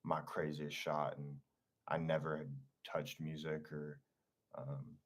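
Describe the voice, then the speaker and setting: A man's voice: extremely low pitch, flat delivery, takes it slowly. A young man, a singer, talking in a laid-back, slangy way as an interview guest. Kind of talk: casual interview chat.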